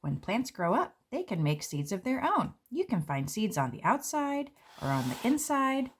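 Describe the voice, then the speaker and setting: A woman, a children's book author, reading her picture book aloud and walking through its pages in a gentle, explanatory way. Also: mid-range voice, sing-song, normal speed, slightly rough. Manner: gentle, explanatory, with light fillers. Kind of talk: read-aloud and book walkthrough. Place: indoors at a table.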